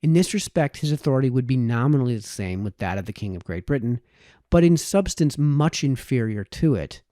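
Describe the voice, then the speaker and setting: A man reading a formal historical text aloud as scripted narration, in measured, formal phrasing. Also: medium pitch, lively intonation, dark tone, no echo, studio quality. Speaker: audiobook reader.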